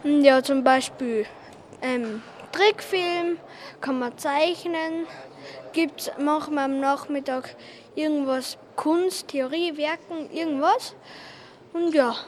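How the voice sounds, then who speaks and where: A child being interviewed, answering casually and spontaneously in a halting, vague way, listing things. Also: high-pitched.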